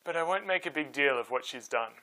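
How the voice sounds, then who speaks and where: A troubled, decent male fiancé from a storybook, voiced by a male narrator. He is earnest, with a bright tone and animated pitch. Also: fairly quick, low-quality recording. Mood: troubled, resolved. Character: troubled but decent young bridegroom Joseph.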